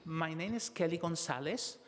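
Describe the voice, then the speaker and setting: Man, interpreter, first-person interpreting, halting, frequent "eh" fillers, slightly high voice, reverberant council chamber. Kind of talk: consecutive interpretation of public testimony. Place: city council hearing room.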